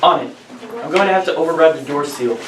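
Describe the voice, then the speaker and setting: A man's voice: a little high, quick pace, noisy background, natural voice. A man reading the part of a loyal, plain-spoken crewman and sidekick at an amateur table read. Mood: urgent. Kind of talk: amateur script table read of retro space serial. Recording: roomy, distant mic, chattering group behind.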